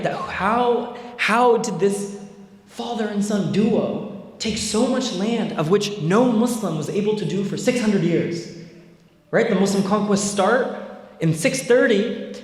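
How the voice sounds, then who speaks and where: A young man giving an informal history lecture as an Islamic scholar, talking conversationally with many fillers and tag questions. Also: sits high. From Bay Area, United States. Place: large echoey hall. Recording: echoey hall with long reverb.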